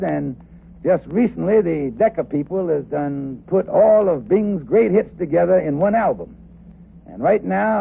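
An actor playing an earnest, polite, folksy everyman with a creaky voice, hosting records in old radio comedy style with loose grammar. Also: fairly high pitch, heavily acted. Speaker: co-host of a radio record show. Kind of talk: 1950s radio comedy acting, introducing records. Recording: tinny, faintly noisy old radio broadcast.

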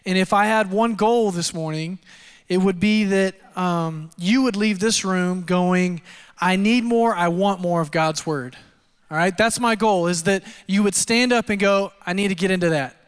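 Texas guy in his 30s preaching, chatty and self-mocking, higher voice, big church reverb. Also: unhurried, clear, resonant tone. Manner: conversational, self-deprecating storytelling with frequent fillers. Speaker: pastor. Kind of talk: sermon with personal testimony. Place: church auditorium. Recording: long hall reverb.

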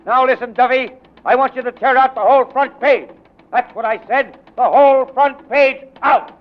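An actor playing a ruthless, domineering newspaper editor who barks orders in 1940s radio drama style. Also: male voice, extremely high pitch, moderate pace. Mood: urgent, commanding. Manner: barking, domineering, commanding. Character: ruthless, bullying, fast-scheming newspaper editor, adult man. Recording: muffled 1940s radio recording.

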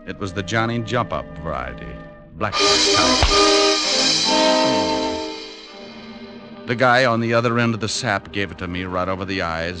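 Low-voiced, wisecracking American private eye. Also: male voice. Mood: wry narration. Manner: wry, laconic, wisecracking. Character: wry, tough, quick-witted male private detective. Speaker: lead actor and narrator. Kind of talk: 1950s radio detective acting, hardboiled narration. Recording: vintage radio drama recording.

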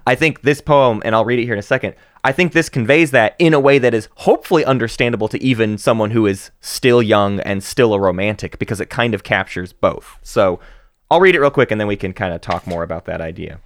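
A man co-hosting a book podcast, talking casually with lots of fillers and restarts, animated and quick to laugh. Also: fairly low pitch, no room sound. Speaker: podcast co-host.

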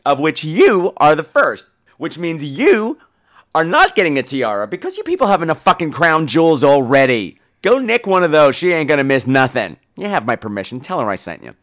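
A man's voice: on the high side, big pitch swings, normal speed, fairly bright tone. A man hosting a podcast with casual comic commentary, in a campy, sardonic, theatrical, foul-mouthed manner. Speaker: podcast host.

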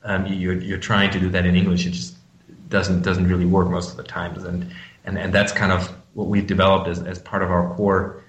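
A man with a German accent speaks in a fairly low voice, answering business questions with frequent ums and repeated words. The recording has a noticeable echoey room sound. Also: completely flat delivery, brisk, dull audio. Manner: hesitant, many fillers and restarts. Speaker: company representative guest. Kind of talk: business interview.

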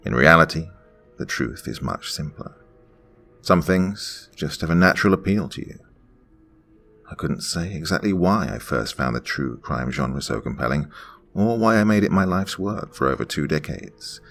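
Very deep, gravelly male narrator. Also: lively intonation, fairly bright tone, faint music behind. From Pennsylvania. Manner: measured, reflective storytelling. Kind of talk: scripted first-person narration.

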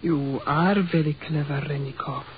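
Actress as coolly coaxing mistress, muffled sound. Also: female voice, deep voice, steady tone, moderate pace, close to an everyday voice. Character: coolly amused, callous Russian mistress of commandant. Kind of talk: 1940s radio horror acting. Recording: dull, noisy old radio recording.

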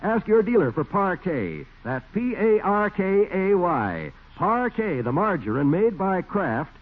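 Male vintage radio announcer, emphatic and animated, scripted sell, narrow old broadcast sound. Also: high voice, measured pace. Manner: polished, emphatic sales delivery. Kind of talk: 1940s radio advertising and scripted narration. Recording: band-limited 1940s radio broadcast.

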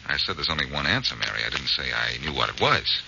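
An actor playing a confident, sharp-witted amateur detective in a 1940s radio drama, brisk and wry as he thinks aloud, on a muffled old recording. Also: rumbling low, big pitch swings, gravelly, background noise, full character voice. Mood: pensive.

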